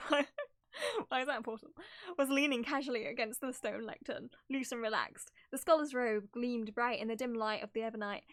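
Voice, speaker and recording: A woman's voice: a little high, fairly even intonation, normal speed, fairly bright tone, dry recording, clean studio sound. A woman streamer reading game story text aloud, with giggly, amused asides between passages. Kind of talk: reading game text aloud dramatically with casual live reactions.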